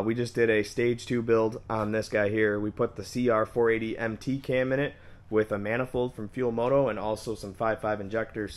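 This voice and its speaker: A man from Michigan with a hoarse voice he is losing, casually vlogging to his viewers and calling them guys. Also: on the low side. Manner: upbeat, addresses viewers as guys. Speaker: motorcycle vlogger. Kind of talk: casual vlog narration to camera. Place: home garage, then outdoors at a shop lot.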